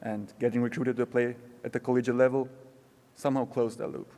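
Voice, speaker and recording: A young man giving a prepared graduation speech, slow and reflective with measured pauses, in a large ceremony hall. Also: medium pitch, little pitch movement, dark tone. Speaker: student graduation speaker. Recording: long hall reverb.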